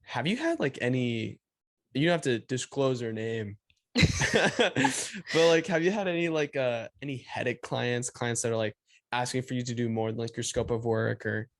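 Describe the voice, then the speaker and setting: A man's voice: mid-range voice, moderate pace. A young man hosts a casual chat over a video call from a small room at home, speaking into a stand mic. He rambles easily with plenty of fillers, his English not his first tongue. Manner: relaxed, rambling, many "like" fillers. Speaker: podcast host. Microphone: studio mic on stand, video call.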